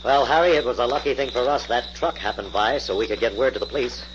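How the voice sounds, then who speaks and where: An actor playing a confident, businesslike crime-fighter in a 1940s radio drama. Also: male voice, mid-range voice, fast, natural voice. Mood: friendly, purposeful. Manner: assured, businesslike. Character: confident, brisk male crime-fighting hero. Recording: muffled, noisy old radio recording.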